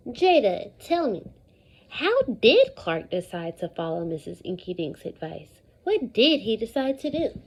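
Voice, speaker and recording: A woman teacher leading an interactive lesson for young children, speaking in an animated, repetitive call-and-response way with deliberate emphasis. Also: a little high, slight background noise, low-quality recording. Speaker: elementary school teacher.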